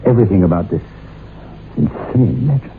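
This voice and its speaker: Very deep-voiced ardent suitor. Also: male voice, sing-song, moderate pace, a little hiss or hum. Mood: urgent, pleading. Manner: pressing, impatient, pleading. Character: ardent, insistent male suitor, dismissive of old curses. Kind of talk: old-time radio drama acting. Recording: dull vintage radio recording with music.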